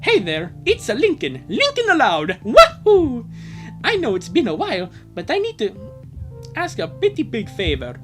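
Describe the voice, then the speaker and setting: A woman's voice: a little high, huge pitch swings, moderate pace, a bit bright, faint music behind, pitched above the performer's own voice. A woman voicing a cheerful young boy runaway who earnestly pleads for help. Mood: pleading.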